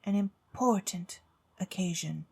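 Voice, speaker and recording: A woman voicing a dignified, self-important lady senator with a grave, slow, oratorical delivery in a storybook audio drama. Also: medium pitch, vocal fry, dark tone, breathy edge, full character voice. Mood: grave, pompous.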